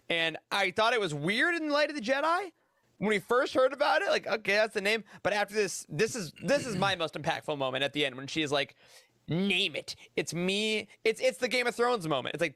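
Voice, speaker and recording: Enthusiastic male podcast panelist, high and bright, casual and emphatic. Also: dry recording, studio quality. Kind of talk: casual chat.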